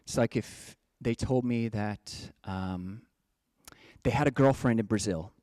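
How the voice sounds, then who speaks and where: A man's voice: fairly low pitch, slow, dark tone, no room sound, studio quality. A man from the United States, a Buddhist monk giving a casual, playful dharma talk. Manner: playful, chatty, breaks into joking slang voices.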